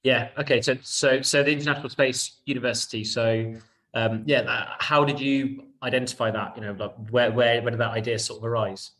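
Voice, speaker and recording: A man's voice: mid-range voice, steady tone, a little fast, a bit bright, poor audio. A man hosting a podcast, asking loosely worded, rambling interview questions. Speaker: podcast host.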